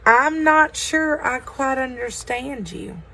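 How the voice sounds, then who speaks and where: A woman's voice: sits high, lively intonation, slow, sharp tone, slight background noise, pitched above the performer's own voice, exaggerated voice. A woman voicing a small, timid, self-doubting wooden puppet boy in a storybook, earnest and questioning. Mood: puzzled.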